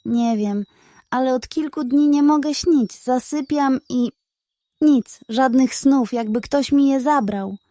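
Woman playing a small boy puppy, exhausted, plaintive, higher voice, worried, children's storybook. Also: slow pace, mellow, no room sound. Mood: exhausted, miserable. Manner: weary, complaining.

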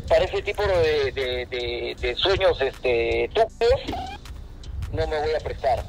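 A man's voice: mid-range voice, animated, slow pace, gravelly, poor audio. A middle-aged man scolding his son over the phone in an indignant, heated way, heard through a phone speaker. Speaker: caller's father on the phone.

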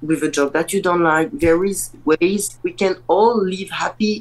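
A woman with a French accent talks on a video call with a stuffy, blocked-nose voice. She is animated and blunt, laughing easily. Her voice sits a little low for a woman. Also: fairly quick, low-quality recording. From France. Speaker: guest, pro bodybuilder. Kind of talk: casual interview over video call.

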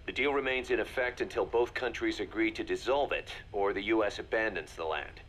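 A man's voice: on the low side, fairly quick, fairly bright tone, a little hiss or hum, lightly acted. A man acting as a brash, hard-nosed military second-in-command in a video game, blunt and colloquial. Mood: urgent, serious. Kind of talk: game voice acting, briefing dialogue.

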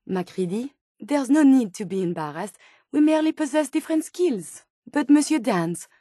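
A woman's voice: fairly high pitch, a little mellow, no echo, studio quality. A woman with a French accent voicing a polite, earnest female robot scientist in a video game, speaking formally and precisely. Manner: formal, precise, courteous phrasing without contractions. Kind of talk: video game character dialogue.

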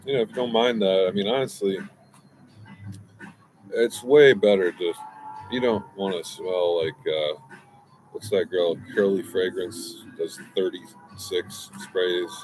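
A man's voice: low-pitched, fairly even intonation, measured pace, darkish tone, faint music behind, low-quality recording. A man in his forties giving a casual, meandering fragrance review outdoors, with lots of restarts and asides, on a close webcam. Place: outdoors by a brick wall, smoking.

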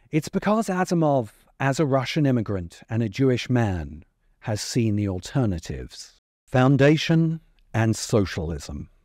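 A middle-aged man delivering scripted commentary as a video essayist, with measured, emphatic phrasing. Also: medium pitch, a little mellow, no echo, studio quality. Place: open-sided covered outdoor space. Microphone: desk microphone close in front. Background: music.

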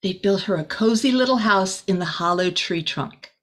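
A middle-aged woman reading a children's storybook aloud as a teacher, expressive and deliberate, with small asides to the listeners. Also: mid-range voice. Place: small room indoors.

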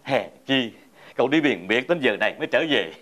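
A man playing an excitable, kindly old village grandfather in a fairy-tale drama, with a southern Vietnamese accent and a theatrical, exclaiming delivery. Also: mid-range voice, fairly quick, slight background noise, close to an everyday voice. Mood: admiring. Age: older. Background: background music.

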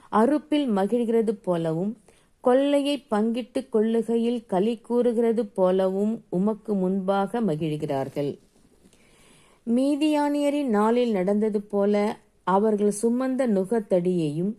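A woman reading Bible verses aloud solemnly, phrase by phrase, in a measured and deliberate manner. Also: fairly high pitch, dark tone. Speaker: scripture reader.

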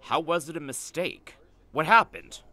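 A man's voice: a little high, sing-song, unhurried, pushed and bright, heavily acted. A man playing a curious, doubting tavern patron in a fantasy audio drama.

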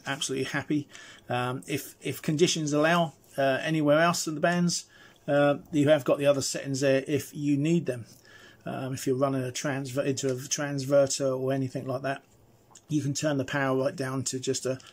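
A middle-aged man giving a casual, chatty, explanatory hands-on review as a presenter. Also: mid-range voice. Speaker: presenter reviewing radio gear.

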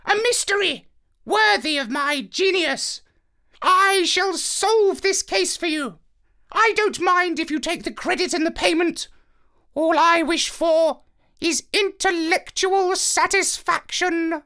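Storybook parrot: very high, squawky, slow and pompous, a conceited genius. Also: male voice, sharp tone, exaggerated voice. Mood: self-satisfied. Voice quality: shrill, squawky. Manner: pompous, drawn-out theatrical delivery. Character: haughty, vain genius parrot detective, squawky. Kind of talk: children's storybook character voice.

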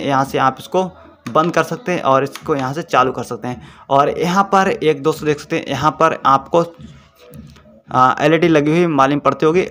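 A man's voice: fairly high pitch, fairly bright tone. A man, explaining a product step by step in a casual, repetitive way. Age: 20s. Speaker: YouTube product reviewer. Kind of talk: casual unboxing demonstration. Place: small plain-walled room indoors.

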